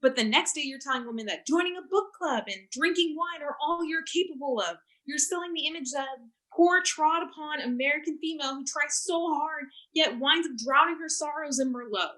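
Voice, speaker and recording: An actress playing an earnest, accusing activist who challenges a talk show host, in a staged play reading on a video call. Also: female voice, high voice, a little fast, pushed and bright, low-quality recording. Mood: scornful. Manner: accusing, insistent.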